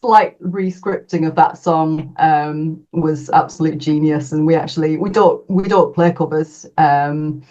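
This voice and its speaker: A woman's voice: deep voice, fairly even intonation, unhurried, few pauses, warm tone. Hesitant English woman, dull lo-fi sound. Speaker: fiddle player in a folk band. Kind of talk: casual interview chat. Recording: dull lo-fi call audio.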